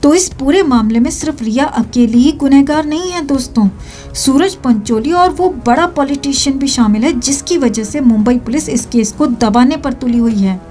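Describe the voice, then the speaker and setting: A woman's voice: high voice, moderate pace, dark tone, soft background music. A woman with an Indian accent reading scripted news to viewers in an emphatic, sensational manner. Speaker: video news narrator.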